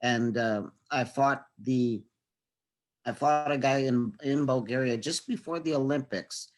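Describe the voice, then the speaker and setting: A man's voice: medium pitch, measured pace. Stammering man recalling things casually. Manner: stammering repeats, chuckles while talking. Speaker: former amateur boxer. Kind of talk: casual conversation.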